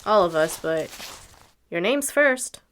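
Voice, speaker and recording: A woman's voice: on the low side, expressive, slow, fairly bright tone, slight background noise. A woman playing a practical, wary travelling musician with dry wit in an audio drama. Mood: matter-of-fact.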